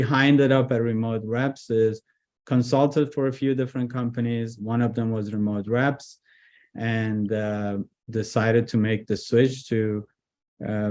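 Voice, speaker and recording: A man originally from Iran, speaking as a business guest in a casual interview, relaxed with frequent fillers and restarts. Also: mid-range voice. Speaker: guest, IT executive director.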